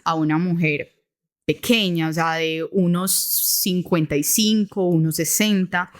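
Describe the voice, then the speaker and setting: A woman from Medellín with a Colombian accent, co-hosting a podcast and recounting a story in a relaxed, hesitant way, checking in with her listener. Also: lowish voice, unhurried. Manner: hesitant, many restarts and fillers, checks in with "¿sí?". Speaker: podcast co-host. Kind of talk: casual conversational storytelling.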